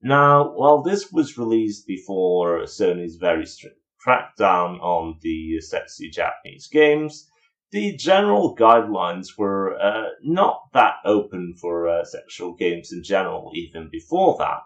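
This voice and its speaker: Male reviewer, lower register, informal commentary, dryly sarcastic. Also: very animated, unhurried, a bit bright, dull audio. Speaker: video reviewer.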